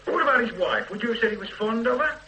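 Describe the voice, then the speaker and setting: Pushy cop inspector in an old radio play, sardonic, voice swings all over, pretty high. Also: male voice, animated, quick pace, pushed and bright, a little hiss or hum, full character voice, low-quality recording. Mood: probing. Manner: pressing, sardonic, threatening when stonewalled. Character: probing, blunt male police inspector. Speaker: police detective questioning a witness. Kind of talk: 1970s-80s radio drama acting.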